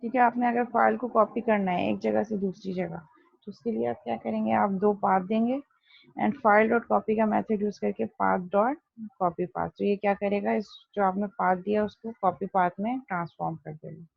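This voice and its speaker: A woman's voice: medium pitch, steady tone, normal speed, gravelly, husky, no room sound, dull audio. A woman teaching programming in an explanatory, step-by-step way, often asking a question and then answering it herself, mixing in English technical terms. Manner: didactic, step-by-step, poses and answers own questions. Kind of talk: explanatory online coding lesson, Hindi-Urdu mixed with English terms.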